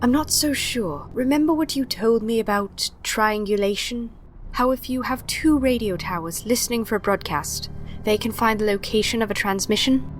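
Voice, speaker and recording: A woman's voice: fairly high pitch, normal speed, a little hiss or hum, close to an everyday voice. A woman playing a wry, hesitant professor in a pulp adventure audio drama. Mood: uneasy. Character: wry, uneasy woman professor with secret power.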